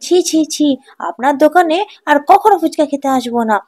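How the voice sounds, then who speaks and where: A woman voicing outspoken, excitable village customers in a children's cartoon, exclaiming and repeating phrases emphatically. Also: sits high, fairly quick. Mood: disgusted, scolding. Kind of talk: children's cartoon fairy tale.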